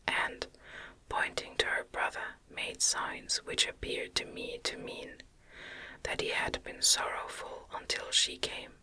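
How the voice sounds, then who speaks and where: A woman reading a gothic novel aloud in a gentle, breathy ASMR whisper, with careful phrasing, close to the microphone. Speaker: audiobook reader.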